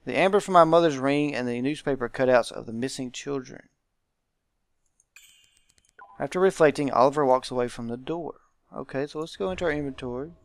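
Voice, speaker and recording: A man's voice: medium pitch, fast talker, dry recording. A man hosting a visual novel let's play, reading game text aloud and adding informal commentary.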